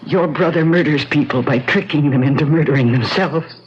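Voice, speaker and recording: A woman's voice: low voice, normal speed, a little mellow, slightly rough, muffled recording. An actress playing an earnest, emotional young career woman in danger in an old-time radio drama. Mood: desperate pleading.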